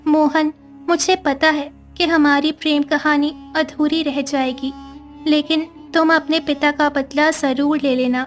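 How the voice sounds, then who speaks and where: A woman voicing a gentle, sorrowful young mermaid girl telling her own story in a cartoon. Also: sits high, flat delivery, unhurried. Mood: pleading. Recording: faint background music.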